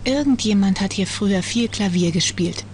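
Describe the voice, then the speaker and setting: A woman's voice: medium pitch, moderate pace, background noise, natural voice. Woman playing an earnest, curious teenage girl detective. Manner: earnest, inquisitive. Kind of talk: cartoon adventure game dialogue.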